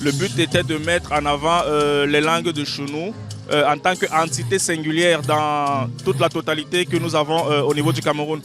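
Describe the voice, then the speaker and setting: A man, a film director, answering an interview outdoors at a festival in a proud, even tone with some hesitations. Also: on the high side, normal speed, over music. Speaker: series director.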